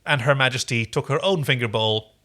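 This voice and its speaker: Enthusiastic guy doing a jokey tv recap podcast, bright forward voice. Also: medium pitch, a little fast. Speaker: podcast host. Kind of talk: casual TV episode recap chat.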